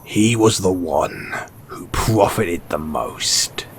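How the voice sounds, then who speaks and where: Low, slow-talking wasteland merchant played by a man. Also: a little hiss or hum. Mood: wry, knowing. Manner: unhurried, colloquial, knowing, with pointed pauses. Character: mysterious wry, cynical wasteland merchant, chuckling and sly. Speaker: voice actor in audio roleplay. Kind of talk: post-apocalyptic audio roleplay, intimate monologue to the listener.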